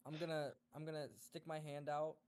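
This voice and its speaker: A man roleplays casually as a player in a tabletop game. He speaks in a slightly raised register, feeling his way haltingly through each line. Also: fairly high pitch, monotone, a little mellow, clean tone. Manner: hesitant, halting delivery. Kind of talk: casual improvised in-character roleplay.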